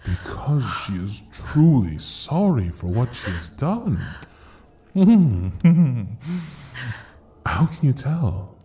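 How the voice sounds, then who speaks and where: An actor playing an ancient devil who rules hell, taunting and theatrical in an audio roleplay with a drawn-out delivery. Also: male voice, mid-range voice, big pitch swings, mellow. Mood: amused, taunting. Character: ancient, mocking male devil ruling hell. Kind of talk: dramatic audio roleplay acting.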